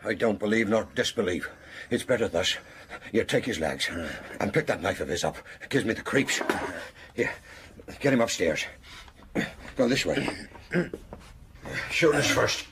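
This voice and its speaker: Casting: low, creaky-voiced rustic innkeeper, wary and half-superstitious, radio play with clear background noise. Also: male voice, lively intonation. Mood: brisk, uneasy. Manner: folksy, measured, rustic turns of phrase. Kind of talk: radio drama acting. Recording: noisy old-time radio broadcast.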